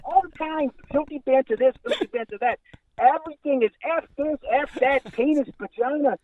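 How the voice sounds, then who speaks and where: A shrill, pushy old agent. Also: male voice, normal speed, phone-quality sound, slight background noise. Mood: scolding. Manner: nagging, bossy, repeats the listener's name constantly. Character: pushy older male talent agent, scolding. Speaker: caller. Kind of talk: improvised comedy bit.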